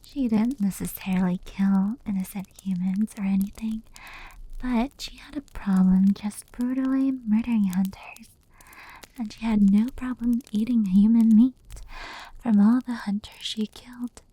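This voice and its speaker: A woman voicing a gentle fox demon in whispered ASMR storytelling, soft, breathy and intimate. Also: medium pitch, steady tone, rough recording. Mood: hushed, eerie. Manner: slow, hushed, intimate delivery. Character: gentle, affectionate female fox demon telling spooky tales.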